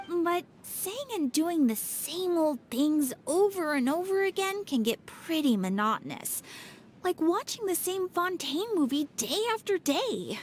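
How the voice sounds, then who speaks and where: A woman voicing a relaxed young receptionist on her break, casual and a little philosophical. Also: high-pitched, a little hiss or hum. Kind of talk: game cutscene voice acting.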